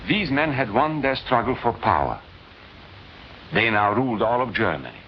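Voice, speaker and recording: A man playing a grave professor, narrating with measured emphasis in a 1940s educational film. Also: mid-range voice, animated, a little hiss or hum, close to an everyday voice. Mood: solemn, indignant. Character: grave male professor recounting the rise of Nazism. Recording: dull 1940s film soundtrack. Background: music.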